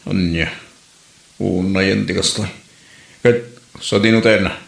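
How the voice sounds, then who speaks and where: A very deep, creaky voice in an echoey room gives us a gruff Ilocano father acted by a man. He is curt, grumbling and disbelieving in a comedy drama. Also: steady tone, a little slow. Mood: indignant, disbelieving. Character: gruff, disbelieving old-fashioned husband and father. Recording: roomy, echoey voice recording.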